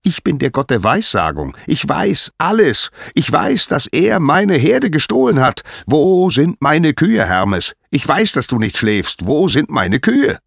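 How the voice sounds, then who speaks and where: A man voicing an angry, indignant god who booms out accusations in a storybook telling. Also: a little slow, vocal fry, few pauses, breathy edge, dry recording, clean studio sound, heavily acted. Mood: furious, accusing. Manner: booming, indignant, emphatic. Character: furious thundering god accusing a thief.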